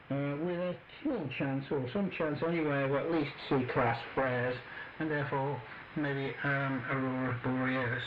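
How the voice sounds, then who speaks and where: A man reading an amateur radio news bulletin in a chatty way with dry understatement. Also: mid-range voice. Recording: muffled echoey shortwave broadcast with static.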